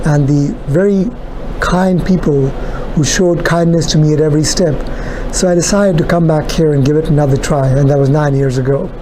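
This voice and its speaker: Man from India, middle-aged, earnest, measured, pausing, noisy background. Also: on the high side. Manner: measured, earnest, with long pauses. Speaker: interviewee, cardiologist. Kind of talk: answering interview questions.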